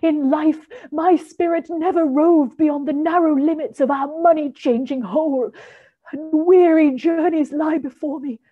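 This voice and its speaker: A woman's voice: sits high, a little slow, narrow, tinny audio, pitched above the performer's own voice. A woman voicing the anguished ghost of an old businessman, weighed down by chains, wailing and drawing out her words.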